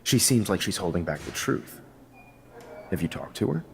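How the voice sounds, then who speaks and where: Composed middle-aged teacher, lowish voice, measured and probing, naturalistic game drama. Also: male voice, animated, gravelly, slight background noise. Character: composed male photography teacher, smooth, gently probing. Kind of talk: naturalistic video game acting.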